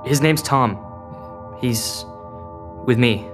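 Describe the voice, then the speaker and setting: A man's voice: medium pitch, drawn-out words, music in the background. An actor playing an anxious teenage boy who asks hesitant questions in a sci-fi audio drama.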